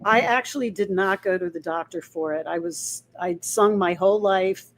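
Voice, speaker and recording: A woman musician in a restaurant, talking with a husky voice in a relaxed, matter-of-fact way, sometimes hesitating. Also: on the low side, normal speed. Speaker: singer and musician guest. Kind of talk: interview answers.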